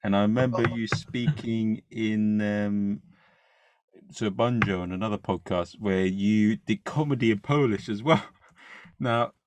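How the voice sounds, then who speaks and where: Deep-ish voice podcast host guy, dry close sound, chill questions. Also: dry recording. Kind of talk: casual interview questions.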